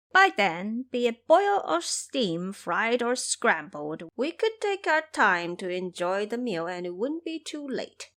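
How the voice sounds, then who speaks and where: A woman acts out a cautious demon king in an audio story. He speaks quietly and with calculation, talking down to his underling, his pitch rising and falling widely. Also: full character voice. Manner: measured, quietly musing, condescending.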